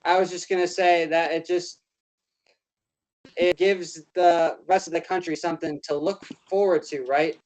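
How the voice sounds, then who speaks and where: Guy on a sports panel, kinda high voice, earnest, keeps saying right?. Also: little pitch movement, a little slow, darkish tone, rough recording. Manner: earnest, reflective, asks "right?" often. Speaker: panel guest. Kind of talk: casual sports panel chat.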